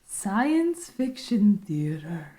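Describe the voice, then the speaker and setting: A woman's voice: medium pitch, big pitch swings, extremely slow, warm tone. A woman reading the part of a timid but stubborn nerdy teenage boy at a casual script read. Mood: nervous, stubborn.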